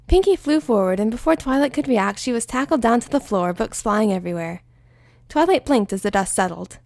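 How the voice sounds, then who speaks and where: A woman narrating a fanfiction story aloud in an expressive storytelling manner. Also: fairly high pitch, brisk, vocal fry, dry recording, clean studio sound. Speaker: fanfiction reader. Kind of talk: audiobook-style story narration.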